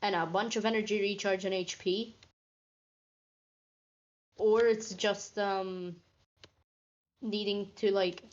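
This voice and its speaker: A woman in casual gaming chat, halting as she thinks aloud over a lo-fi voice call. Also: mid-range voice, steady tone.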